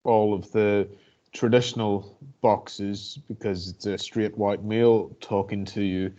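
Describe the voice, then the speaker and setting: Northern Irish man, low, flat delivery. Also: a little slow, dull audio. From Northern Ireland. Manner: reflective, hesitant, many restarts and fillers. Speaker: barrister and guest speaker. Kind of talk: personal talk to an online audience.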